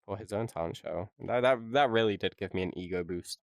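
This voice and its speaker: Low-voiced man, rambling podcast banter. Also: a little fast, no echo, clean studio sound. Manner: sweary, rambling, joking. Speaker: podcast co-host and video editor. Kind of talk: casual unscripted podcast banter.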